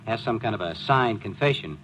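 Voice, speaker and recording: Animated, breezy newsman husband. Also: lowish voice, a little fast, low-quality recording. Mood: eager. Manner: jaunty, cajoling, affectionate. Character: breezy, teasing newspaper editor husband and amateur sleuth. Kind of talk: old-time radio acting. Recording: hissy old radio recording.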